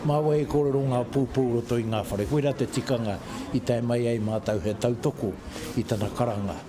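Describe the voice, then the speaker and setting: A man speaks unscripted to a news crew. His pitch is middling, and a crowd can clearly be heard in the background. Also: steady tone, fast. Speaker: interviewee. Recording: clear crowd noise behind.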